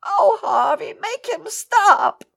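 Creaky, swooping, timid woman played by an actor. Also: very high-pitched, big pitch swings, slow, fairly bright tone, heavily acted. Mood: tearful, pleading. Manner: hesitant, stammering, meek. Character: timid, tearful, deferential woman, a former household employee. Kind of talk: melodramatic radio play acting.

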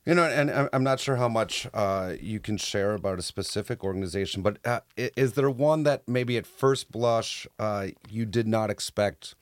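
Low-voiced, halting male interviewer. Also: dry recording, clean studio sound. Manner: halting, repeats words while framing questions. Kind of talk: interview questions.

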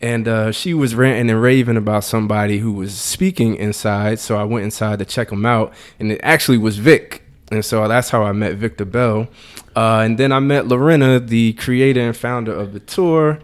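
A man's voice: lowish voice, moderate pace, clean tone. Casual male podcast co-host. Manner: colloquial, informal.

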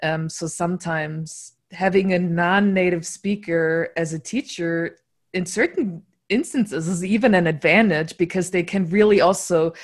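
A woman whose first language is German, hosting a podcast interview in English, speaking in a thoughtful, measured way. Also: fairly low pitch. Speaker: podcast host.

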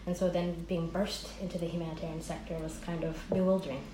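Woman, lower voice, echoey room, faint background noise, animated storytelling with fillers. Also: fast talker. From Somaliland, raised in the United States. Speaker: guest, NGO director. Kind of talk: conversational interview.

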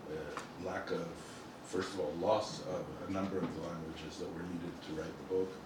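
A man whose first language is Spanish, an author talking thoughtfully about his book in a halting, self-correcting way. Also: low voice, little pitch movement. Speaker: author speaking at a book event. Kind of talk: reflective informal talk. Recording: echoey room from a distant mic, noisy.

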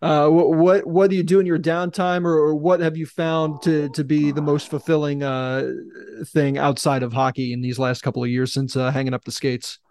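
A man with a somewhat high voice, flat in its melody, interviews a guest. He stumbles and restarts as he builds each question. The sound is dry and close. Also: normal speed, hardly any pauses, no echo. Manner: stammering restarts before questions.

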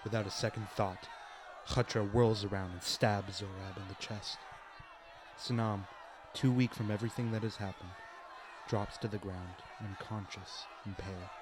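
A man's voice: lowish voice, fairly even intonation, normal speed. A man reading scripted narration for a radio play, with noisy crowd ambience behind him.

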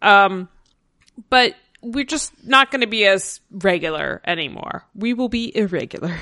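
A woman's voice: medium pitch, lively intonation, measured pace, sharp tone, no echo, clean studio sound. A woman hosting a casual podcast chat, talking frankly and laughing often. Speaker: podcast host.

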